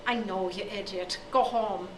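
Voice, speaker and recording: A woman's voice: mid-range voice, fairly even intonation, measured pace, noisy background, natural voice. A woman voicing a hot-tempered older male schoolmaster who bellows insults. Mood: gruff. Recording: echoey room from far off.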